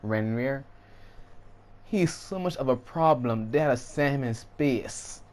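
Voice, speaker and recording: A man's voice: mid-range voice, expressive, unhurried, a little mellow. A man giving casual, off-the-cuff commentary as he reacts to a video game. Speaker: gamer commenting on cutscenes.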